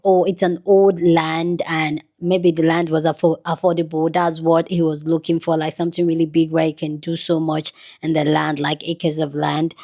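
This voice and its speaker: A woman's voice: lowish voice, darkish tone. A woman from Nigeria giving rambling, earnest commentary on her own channel, with many restarts. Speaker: YouTube commentator. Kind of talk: casual commentary to camera.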